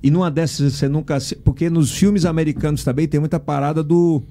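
A man's voice: fairly high pitch, steady tone, brisk, mellow. A man from Brazil with a Piauí accent, hosting a podcast and asking questions in an informal, slangy way. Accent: Piauí Portuguese. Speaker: podcast host. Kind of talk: casual podcast interview. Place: podcast studio.

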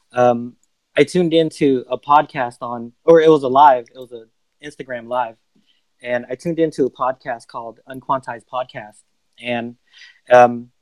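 Man, music producer, podcast guest, relaxed, lots of ums, dry close sound. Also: mid-range voice, dry recording, poor audio. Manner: relaxed, many um fillers. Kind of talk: casual interview answers.

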